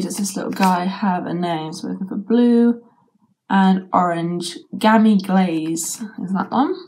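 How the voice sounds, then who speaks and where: A young woman with a British accent and a croaky voice from a sore throat, chatting casually while unboxing a toy, with lots of ums and likes. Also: mid-range voice, darkish tone. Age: young adult. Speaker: toy reviewer. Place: bedroom with toy shelves, indoors.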